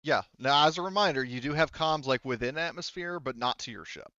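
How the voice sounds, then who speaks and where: Male game master, smooth and conversational, rambling off the cuff, close dry mic with slightly dull sound. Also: fairly high pitch, fairly quick, pushed and bright, no echo. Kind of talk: casual improvised narration of a tabletop roleplaying game.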